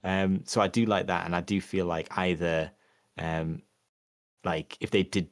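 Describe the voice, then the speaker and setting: Deep-voiced man rambling in casual chat. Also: fairly even intonation, no echo, clean studio sound. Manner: rambling, halting, many fillers and restarts. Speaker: podcast co-host.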